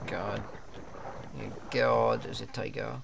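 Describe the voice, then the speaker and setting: A man's voice: mid-range voice, level tone, slow pace, creaky, background noise. A man streaming a game, roleplaying a polite, hesitant character with a put-on French accent and many fillers. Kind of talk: in-character roleplay chat and live gameplay talk.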